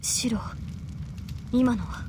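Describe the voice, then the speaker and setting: A woman's voice: moderate pace, background noise, natural voice. A woman playing an earnest young female knight servant in a Japanese visual novel, speaking to her master. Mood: quietly concerned. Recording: game audio with music, played back.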